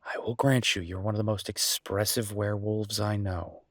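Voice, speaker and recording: A man acting as a chef boyfriend speaks in a low, husky voice, recorded close and dry. He chats casually and intimately, stopping and restarting as he goes. He is affectionate and teasing, with a grumbling streak, in the style of an audio roleplay. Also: fairly even intonation, no room sound, lightly acted. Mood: tender, reassuring. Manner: casual, teasing, halting conversational delivery.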